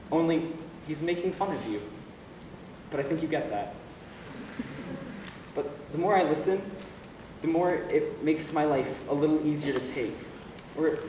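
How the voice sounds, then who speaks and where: A man's voice: on the high side, natural voice. A man playing a despairing but wry lonely young man in a confessional stage monologue. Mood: amused, bitter. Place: stage play recorded in a hall. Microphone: distant camera or room microphone. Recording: echoey hall from far off, noisy.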